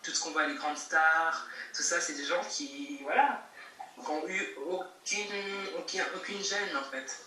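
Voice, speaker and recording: A woman's voice: low voice, measured pace, pushed and bright. Emphatic young woman, echoey call. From Geneva, Switzerland. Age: young adult. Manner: emphatic, repeats herself, laughs between points. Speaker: guest rapper. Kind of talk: casual interview answers. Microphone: video call. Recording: echoey distant call audio with faint noise.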